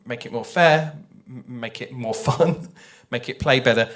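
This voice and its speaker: A man's voice: mid-range voice, unhurried, fairly bright tone. A man speaking conversationally on a panel as a writer, explaining his ideas thoughtfully and repeating phrases for emphasis. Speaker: audio drama writer on a festival panel.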